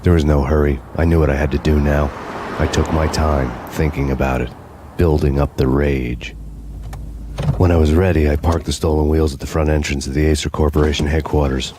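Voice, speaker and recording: A deadpan noir cop narrator. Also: male voice, rumbling low, a little fast, background noise. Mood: grim. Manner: flat, deadpan, sardonic. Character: weary hard-boiled male cop narrator, grim and sardonic.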